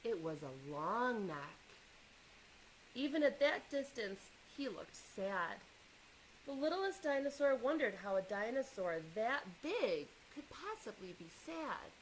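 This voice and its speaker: A woman reading a children's picture book aloud in an expressive way, pausing to engage young listeners with questions and asides. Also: a little high, slight background noise, low-quality recording.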